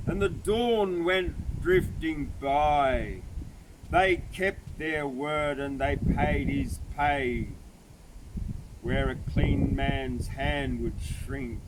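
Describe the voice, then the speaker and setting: A man reciting a bush ballad in a theatrical, measured way with emphatic phrasing. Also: a bit bright. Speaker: poetry reader. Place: outdoors at a cave site. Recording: very noisy, clicks, vehicle-like rumble.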